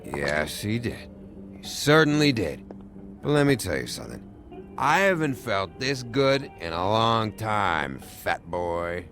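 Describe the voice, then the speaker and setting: A man's voice: lowish voice, very animated, slow, a bit bright, soft background music, heavily acted. An actor playing a drugged, belligerent, foul-mouthed man with a sardonic, needling drawl in a video game. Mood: bitter, mocking.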